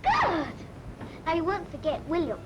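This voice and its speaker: High-pitched schoolmistressy safety instructor. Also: female voice, huge pitch swings, unhurried, heavily acted. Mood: instructive, encouraging. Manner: schoolmistressy, didactic. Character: brisk instructive woman drilling road safety. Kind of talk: 1940s public information film instruction. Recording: noisy 1940s film soundtrack.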